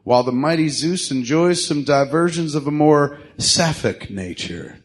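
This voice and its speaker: A man playing an exasperated, sarcastic henchman who placates his boss, casual and halting, in an amateur audio drama. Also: fairly high pitch, expressive. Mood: placating. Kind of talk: amateur radio drama acting.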